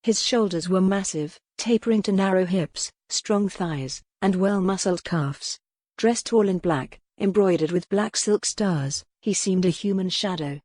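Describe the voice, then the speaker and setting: A woman's voice: medium pitch, unhurried, darkish tone, no room sound. A woman reading scripted narration from a historical romance novel as an audiobook narrator.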